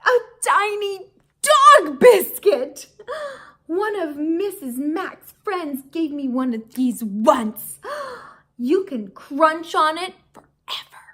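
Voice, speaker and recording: An excitable little storybook hamster, played by a woman, very high in pitch. He is cheerful and easily delighted, gasping and repeating his words with glee. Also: slow pace, heavily acted.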